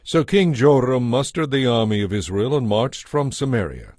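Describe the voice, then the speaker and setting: A man narrating a dramatized audio Bible in a measured storytelling manner. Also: medium pitch, expressive, a little mellow, no echo, clean studio sound.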